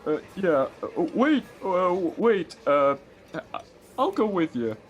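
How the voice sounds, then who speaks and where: An actor playing a cocky small-time crook in an old radio detective drama, slangy and halting, repeating himself. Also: male voice, sits high, very animated, highs cut off, faint music behind, full character voice. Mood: sour, hesitant. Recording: faint background noise, home recording.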